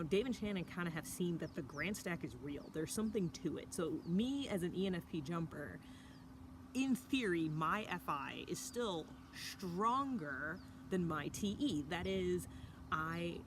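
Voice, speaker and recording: A woman talking casually to camera in a hushed early-morning voice, recording outdoors by a fire pit with a mountain view. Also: fairly low pitch. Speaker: vlogger. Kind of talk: casual reflective talk to camera. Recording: outdoor ambience with background noise.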